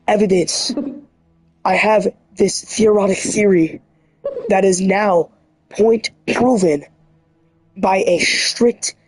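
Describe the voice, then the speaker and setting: A man with a hoarse, scratchy voice, rambling earnestly and excitably as a livestreamer. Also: high voice, no echo. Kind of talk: casual livestream monologue.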